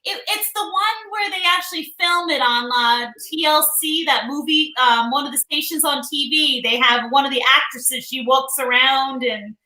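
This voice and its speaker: A woman's voice: high-pitched, normal speed, few pauses, sharp tone, reverberant, a little hiss or hum, low-quality recording. A young adult woman co-hosting a casual live-stream chat, teasing and chatty, laughing often. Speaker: co-host.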